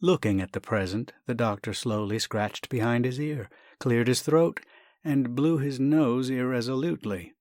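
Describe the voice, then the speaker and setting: A man reading a classic comic short story as scripted narration, in a measured, wry, theatrical way. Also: mid-range voice, darkish tone, no echo, studio quality. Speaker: story reader, actor.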